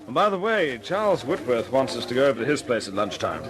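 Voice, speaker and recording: An actor playing a brisk, composed RAF commander with a British accent in an old-time radio drama. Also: male voice, medium pitch, very animated, natural voice. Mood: hearty. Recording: old radio recording, crowd chatter behind.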